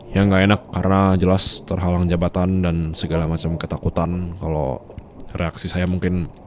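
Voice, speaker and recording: Over faint background ambience, a low-pitched male boss acted out by a man speaks in an intimate ASMR roleplay. He is possessive and teasing, commanding yet forgiving. He talks close to the listener, coaxing and pressing with short prompting questions. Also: darkish tone, slight background noise, natural voice.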